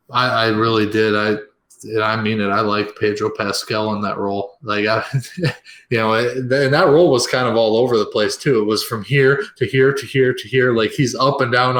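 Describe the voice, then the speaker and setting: A man's voice: on the low side, a bit bright. A man co-hosting a casual film review podcast, rambling with plenty of fillers as he works through his notes. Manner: rambling, many fillers and restarts. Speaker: podcast co-host.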